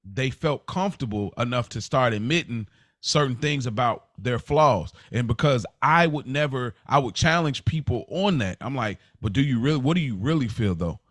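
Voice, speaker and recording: A man's voice: mid-range voice, lively intonation, normal speed, fairly bright tone, dry recording, studio quality. A man speaking as a podcast co-host in casual, reflective conversation, earnest and conversational in his delivery.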